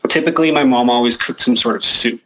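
A man with a medium-pitched voice answers as a podcast guest. He talks in a reflective, conversational way, often stopping to search for the right word. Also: quick pace, a bit bright, low-quality recording. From Guyana, moved to Canada as a child.

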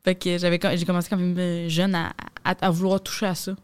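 Young woman, Québécois accent, very fast, smooth clear voice, dry close sound, slangy comic storyteller. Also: lowish voice, no room sound. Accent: Québécois French. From Quebec. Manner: rapid, slangy, animated storytelling. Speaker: comedian guest. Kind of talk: casual podcast chat.

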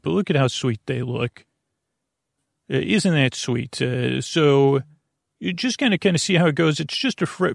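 Gravelly, meandering male sleep podcast host. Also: mid-range voice, no echo, clean studio sound. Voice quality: creaky, gravelly. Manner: meandering, self-interrupting, trailing off mid-thought. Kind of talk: rambling bedtime sleep podcast monologue.

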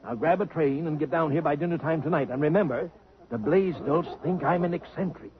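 An actor playing a gruff, penny-pinching old millionaire posing as a poor boarder in a 1950s radio drama. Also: male voice, on the high side, brisk, a crowd in the background, close to an everyday voice. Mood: brisk. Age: older. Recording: muffled old radio broadcast.

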